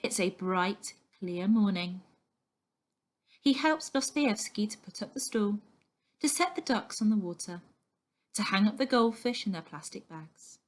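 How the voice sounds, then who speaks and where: Woman reading a kids book out loud, slow and measured, mid pitch. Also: animated. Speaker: story reader. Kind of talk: scripted narration of a children's novel.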